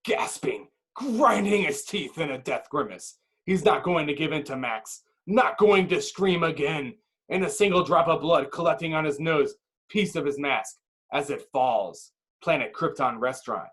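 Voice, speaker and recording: A man's voice: fairly high pitch, lively intonation, measured pace, fairly bright tone. A man narrating a screenplay's action with intense, clipped dramatic phrasing. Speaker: narrator reading stage directions.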